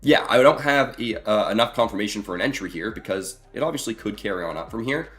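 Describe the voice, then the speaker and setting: A young man with a hoarse, roughening voice, casually talking to his live stream chat with lots of fillers. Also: on the low side, animated, quick pace, a bit bright, faint music behind. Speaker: trading streamer.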